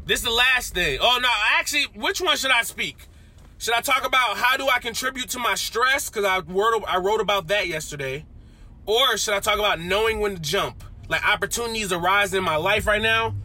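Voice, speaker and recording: A man's voice: high-pitched, fairly quick. A young man, talking to the camera in a rambling, slangy, self-coaching way while driving his car. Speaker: vlogger. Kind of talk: casual self-talk vlog. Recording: loud car road noise.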